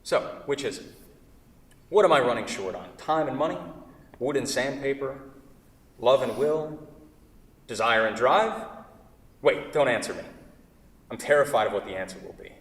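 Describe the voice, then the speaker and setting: A man's voice: mid-range voice, lively intonation, moderate pace, pauses often, close to an everyday voice. A man in a staged play reading, acting a wordy, fretful furniture craftsman in love with his own creation, often correcting himself. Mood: tender, wistful. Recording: echoey hall, far from the mic.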